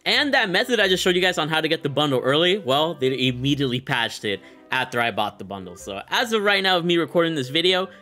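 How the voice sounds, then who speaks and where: Casting: young male gaming creator, bright forceful voice, casual slangy enthusiasm, music underneath. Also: on the high side, big pitch swings, fast talker, few pauses. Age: young adult. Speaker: gaming content creator and host. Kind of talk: casual excited game bundle showcase commentary.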